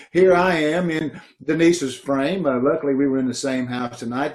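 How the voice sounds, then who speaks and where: A man's voice: fairly high pitch, darkish tone. A man with a slightly raised, easy speaking voice wraps up a live show over a video call from home. He talks loosely and chattily, laughing along as he goes. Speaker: show host introducing the cast. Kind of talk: casual live sign-off.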